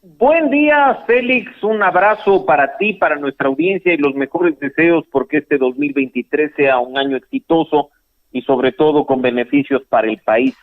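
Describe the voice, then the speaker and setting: A man's voice: fairly high pitch, moderate pace, no room sound. A male lawyer, speaking as a radio guest over the phone and explaining legal points in a composed way, with the odd hesitation. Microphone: telephone line. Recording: dry, tinny phone line.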